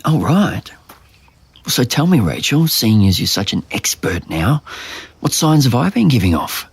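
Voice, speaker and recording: A man's voice: medium pitch, sing-song, unhurried, creaky, slightly rough, full character voice. An actor playing a tired, guarded young man with an Australian accent in a naturalistic audio drama. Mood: weary, dismissive. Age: young adult. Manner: dry, sarcastic, defensive.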